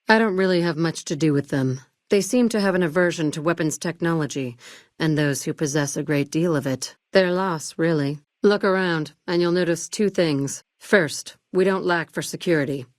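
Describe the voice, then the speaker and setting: A woman acting in a video game as a shrewd, coolly controlled arms dealer and crime-family boss, speaking in a measured, polished, commanding way. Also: sits low, dry recording, studio quality, natural voice. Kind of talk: scripted game dialogue acting.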